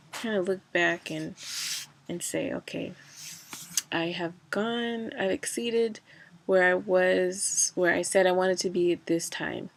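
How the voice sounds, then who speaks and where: Woman, casual vlogger, mid pitch, fry in the voice, congested from a cold, loose rambling delivery. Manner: rambling, many fillers and restarts. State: stuffy from a cold. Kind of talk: casual personal vlog.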